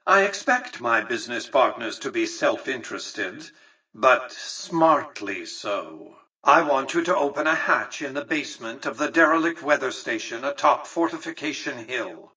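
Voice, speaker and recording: An actor playing an imperious, calculating old tycoon in a video game, speaking formally and precisely with pompous condescension. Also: male voice, medium pitch, sharp tone, husky, distant mic, heavily acted, rough recording. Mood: condescending. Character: imperious, calculating, centuries-old male tycoon ruling a futuristic Vegas. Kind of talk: scripted video game character dialogue.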